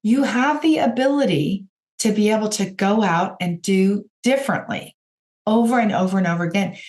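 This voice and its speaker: A woman with a raspy voice, hoarse from a cold, hosting a podcast and giving direct, encouraging coaching talk to her listeners. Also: medium pitch, a little mellow. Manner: encouraging, direct, addresses listeners as you guys. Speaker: podcast host and business coach.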